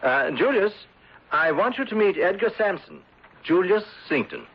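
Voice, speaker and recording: Sociable gentleman, radio drama. Mid-range, raspy, expressive; dull vintage broadcast sound. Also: high-pitched, normal speed, full character voice. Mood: jovial. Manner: expressive, theatrical. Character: Tom, hearty, sociable gentleman friend and host. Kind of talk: 1970s radio drama acting. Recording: dull old radio recording.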